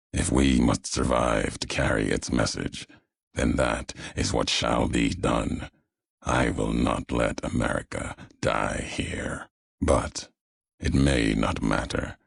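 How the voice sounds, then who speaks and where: Brooding wasteland courier for a game, super low raspy voice, solemn and cryptic. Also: male voice, no echo, clean studio sound, exaggerated voice. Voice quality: very deep gravelly rasp. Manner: slow, solemn, cryptic, deliberate pauses between short phrases. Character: grave, brooding wasteland courier and ex-legion tribesman. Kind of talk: dramatic video game dialogue.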